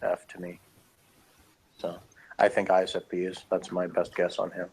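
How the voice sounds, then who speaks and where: A man's voice: deep voice, completely flat delivery, normal speed, a little mellow, slight background noise, poor audio. Man rambling through an opinionated casual review. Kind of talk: casual chat, episode review.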